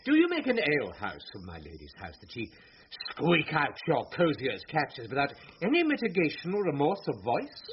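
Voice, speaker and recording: Pompous scolding steward, dramatically inflected. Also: male voice, fairly high pitch, wildly swooping pitch, normal speed. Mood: outraged. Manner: indignant, pompous, precisely enunciated. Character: pompous, scolding, puritanical steward of a noble household. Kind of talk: classic radio Shakespeare acting. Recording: muffled, slightly noisy radio drama.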